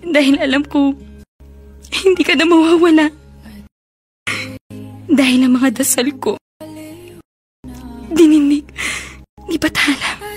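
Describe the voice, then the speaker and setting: A woman playing a sentimental, lovelorn young woman in a radio romance drama, speaking in an emotional, halting way. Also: high voice, fairly even intonation, faint music behind. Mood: tearful, relieved.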